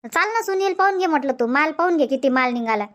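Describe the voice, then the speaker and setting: A woman's voice: high voice, lively intonation, quick pace. A woman playing a shrewd, outspoken farmer's wife in a cartoon comedy, blunt and emphatic, with a Varhadi Marathi accent from Vidarbha. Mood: excited. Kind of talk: dubbed cartoon comedy dialogue. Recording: dull lo-fi audio.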